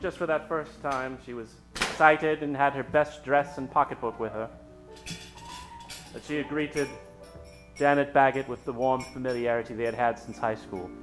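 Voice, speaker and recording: A man narrating a radio play in a theatrical, suspenseful manner with dramatic pauses. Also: medium pitch, fairly even intonation, music in the background, rough recording.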